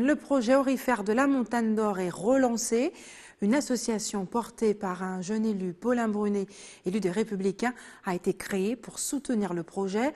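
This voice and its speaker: A woman presenting the news from the studio, crisp and projected. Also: medium pitch, darkish tone. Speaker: news anchor.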